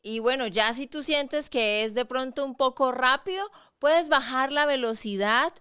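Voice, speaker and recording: A woman from Colombia, a Spanish teacher hosting an interview, speaking in a friendly, clear teacherly way with a Bucaramanga Colombian accent. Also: high-pitched, a little slow, pushed and bright. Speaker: podcast host and Spanish teacher. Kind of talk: conversational interview.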